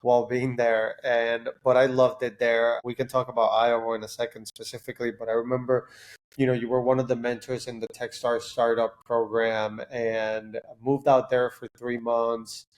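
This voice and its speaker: A man's voice: mid-range voice, little pitch movement, moderate pace, poor audio. A man, a podcast guest talking casually in long loose runs, often restarting himself and filling with "like". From Puerto Rico. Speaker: podcast guest, startup founder. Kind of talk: casual interview chat.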